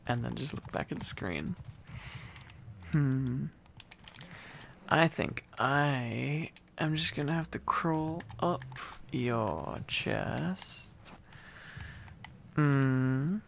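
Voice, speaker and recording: A man's voice: medium pitch, drawn-out words, vocal fry, pauses often, fairly bright tone, slight background noise. A man doing a boyfriend ASMR roleplay in a playful, teasing, affectionate way, rambling intimately as a needy, silly boyfriend close to the microphone.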